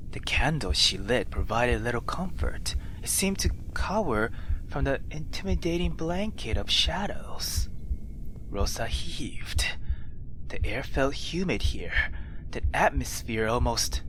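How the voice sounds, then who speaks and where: Slow, expressive man reading a visual novel. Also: mid-range voice, a little hiss or hum, low-quality recording. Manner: expressive, informal, swearing. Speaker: let's player.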